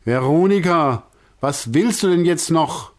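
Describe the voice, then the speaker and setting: A man voicing a panicky male circus director in a storybook, with a high, strained, lamenting voice. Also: lively intonation, a little slow. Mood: flustered. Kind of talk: storybook character voice.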